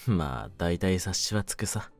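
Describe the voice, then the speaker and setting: A male voice actor plays a young gangster with a low voice, recorded dry and intimate over music. He is brooding and lonely, talking in rough, streetwise words with a soft, clingy undertone, in the style of a situation-voice roleplay. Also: a little slow, no room sound. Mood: gentle, lonely. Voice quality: low-pitched voice.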